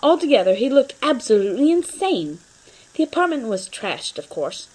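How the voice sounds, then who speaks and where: A woman with a fairly high voice gives a dramatic reading of a humorous story. Her narration is expressive and theatrical, told as a storyteller. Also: normal speed, breathy edge, low-quality recording.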